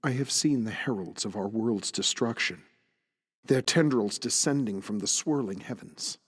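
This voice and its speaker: A man's voice: lowish voice, normal speed, husky, pitched below the performer's own voice. A man playing a solemn father who delivers grave, theatrical warnings of doom in an audio drama. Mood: ominous, solemn. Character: solemn father prophesying doom to his son.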